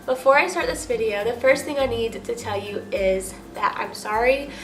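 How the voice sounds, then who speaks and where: A woman's voice: a little high, normal speed. A young American woman with a nasal, stuffed-up voice from a cold, telling a personal story as a vlogger in a chatty, emphatic way full of filler "like". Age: young adult. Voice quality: nasal, congested. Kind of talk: casual personal storytime to camera. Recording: roomy echo with music underneath.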